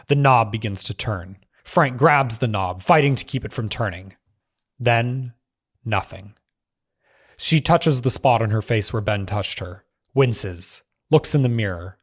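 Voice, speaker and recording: A man narrating screenplay stage directions in measured, clipped phrases. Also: on the low side, animated, slightly rough.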